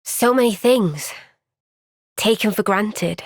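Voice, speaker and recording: An actress voicing a gentle, kind and steady young woman soldier in a game's cutscenes, with a Welsh accent and a soft-spoken, caring, thoughtful way of talking. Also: medium pitch, slow pace, no room sound, studio quality. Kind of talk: video game cutscene dialogue. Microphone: close studio microphone.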